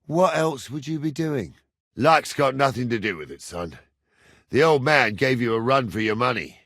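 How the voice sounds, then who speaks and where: A gruff old soldier from a medieval video game, with a very lively, swooping pitch. He talks in a rustic, salty way and orders youngsters about with crotchety impatience. The recording is dry, with no room sound. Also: normal speed, no echo. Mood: gruff, folksy. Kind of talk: video game character dialogue.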